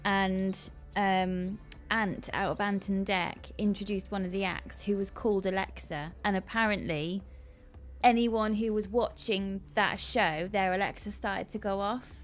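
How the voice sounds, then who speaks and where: A woman, co-hosting a casual livestream, chatty and quick to laugh. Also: medium pitch, steady tone. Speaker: livestream co-host.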